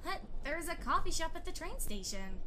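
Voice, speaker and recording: A woman's voice: very high voice, very animated, normal speed. A woman playing a sensible, kindly female magic pony with a mild manner in an amateur audio drama, recorded with background noise. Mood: agreeable. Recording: noisy amateur microphone.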